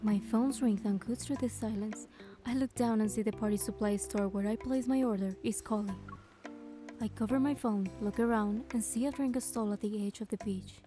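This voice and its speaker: A woman playing a playful young heroine in a game, narrating softly in the first person. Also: medium pitch, steady tone, moderate pace, close to an everyday voice. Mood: calm narrating. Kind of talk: scripted first-person narration with character dialogue. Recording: studio voice over clear background music. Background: music.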